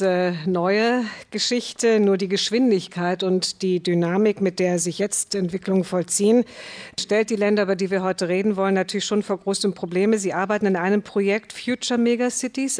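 A woman's voice: medium pitch, darkish tone. A woman moderating a panel interview as host, putting questions to her guests.

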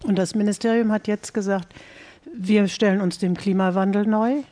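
A woman speaking as an expert interview guest, explaining in measured, formal phrasing. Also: mid-range voice, steady tone, dark tone.

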